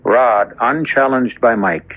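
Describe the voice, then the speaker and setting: An actor as the ominous host and narrator of a 1970s radio horror drama, speaking in a grave, theatrical, deliberate way. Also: male voice, medium pitch. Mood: grave narration. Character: ominous, knowing male host of horror tales. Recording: tinny old radio recording with music.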